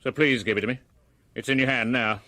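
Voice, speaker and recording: An actor playing a firm, observant police superintendent in a radio play, speaking with clipped, courteous authority. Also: male voice, medium pitch, steady tone, brisk, bright, forceful tone, no room sound, close to an everyday voice. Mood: commanding. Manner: authoritative, clipped, formally polite.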